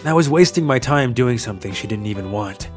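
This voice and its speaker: A man playing a kind, lovelorn young traveller in an English manga dub, narrating his story and speaking in an earnest, soft-hearted way. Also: mid-range voice, lively intonation, a little fast, soft background music, natural voice. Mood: regretful.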